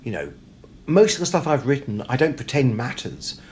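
A man's voice: mid-range voice, sing-song, moderate pace, a little mellow. Male author interviewee, conversational and emphatic, voicing imagined dialogue as he explains, light room noise behind. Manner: emphatic, acts out imagined quotes and questions. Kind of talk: animated, reflective conversational interview. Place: hotel.

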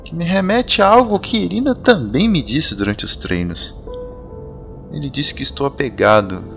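An actor playing an earnest young man who trains in magic, speaking gently and thoughtfully in an audio drama. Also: fairly high pitch, expressive, moderate pace, gravelly, soft background music.

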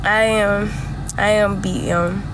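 A young woman livestreaming from a car on a phone, chatting bluntly and confessionally with heavy slang and swearing, her voice tired from yelling. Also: medium pitch, fairly even intonation, slow pace. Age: young adult. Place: inside a car. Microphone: phone held close at arm's length. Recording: noisy phone audio with vehicle ambience.

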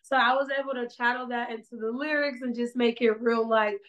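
Animated woman on a video call. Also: a little high, fairly quick. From South Side of Chicago. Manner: animated, gestures a lot while talking. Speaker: songwriter guest. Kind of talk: casual interview chat. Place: small indoor room.

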